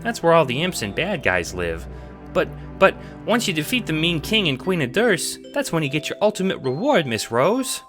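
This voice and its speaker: A man voicing an eager, nervous, childlike cat sprite in an audio drama, hesitant and excitable. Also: on the high side, normal speed, faint music behind, pitched above the performer's own voice. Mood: excited.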